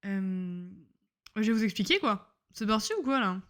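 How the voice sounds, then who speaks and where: A woman's voice: medium pitch, normal speed. A young woman, a medical student, talks loosely into a home microphone about her day, rambling, joking about herself and using lots of slang. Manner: chatty, rambling, self-mocking, full of slang. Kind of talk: casual diary-style chat. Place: at home on a couch.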